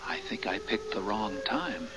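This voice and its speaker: An actor playing a mild, hesitant, newly dead husband as a ghost in a 1970s radio drama. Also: male voice, fairly low pitch, unhurried, over music, natural voice. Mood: wistful. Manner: plain, unassuming. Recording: slightly hissy 1970s radio recording.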